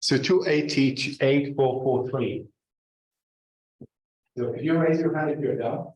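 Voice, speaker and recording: A middle-aged man from Palestine with a Palestinian Arabic accent, giving an informal academic talk in a halting, conversational way. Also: mid-range voice, a little mellow. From Gaza, Palestine. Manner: conversational, halting, frequently checks in with "right?" and "like". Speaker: professor, invited speaker. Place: indoor lecture room. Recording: very echoey room, distant muffled mic.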